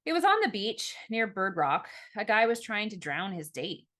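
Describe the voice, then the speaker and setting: A woman's voice: mid-range voice, big pitch swings, normal speed, fairly bright tone. A woman reading an audiobook plays a tired, sarcastic young woman with a dry, weary way of talking. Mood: hesitant, serious. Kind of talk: audiobook character dialogue.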